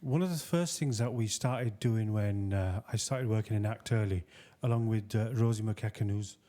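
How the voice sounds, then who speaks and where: Mellow-voiced male panelist explaining. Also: on the low side, moderate pace. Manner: explanatory, relaxed, many fillers. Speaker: panelist researcher. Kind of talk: panel discussion answer.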